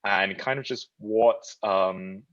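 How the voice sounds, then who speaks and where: A man's voice: fairly low pitch, slow, fairly bright tone, no echo, highs cut off. A young man from Malaysia, founder of a design studio, giving a loose, chatty talk with lots of fillers and restarts. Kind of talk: casual presentation talk.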